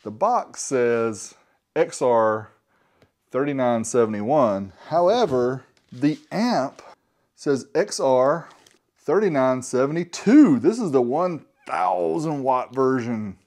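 A man's voice: mid-range voice, animated, takes it slowly, a little mellow. A man in his forties casually unboxing and reviewing a product as host, chatty and joking, talking to himself now and then. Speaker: host reviewing car audio gear.

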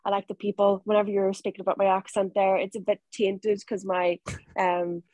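A woman's voice: mid-range voice, fairly quick, no echo. A young woman with a Northern Irish accent, chatting easily as an interview guest over a video call. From Northern Ireland. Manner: relaxed, chatty, laughs easily. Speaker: rugby player guest. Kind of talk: casual interview answers. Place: home room, video call.

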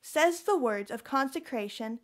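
Lady acting devout roman wife in a radio play, patient teacher type, a bit high pitched. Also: expressive, a little slow. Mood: firm. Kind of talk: audio drama acting.

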